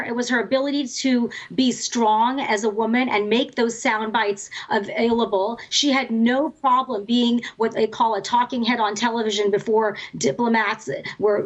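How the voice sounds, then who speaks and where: A woman's voice: on the high side, poor audio. A woman giving conversational political commentary as an interview guest, explaining emphatically through anecdotes.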